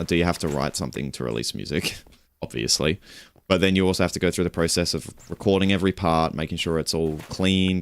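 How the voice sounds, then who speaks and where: A young man streaming and chatting casually. He rambles in a relaxed way, with little chuckles and self-corrections. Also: slight background noise. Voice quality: low voice. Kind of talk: casual stream chat while gaming.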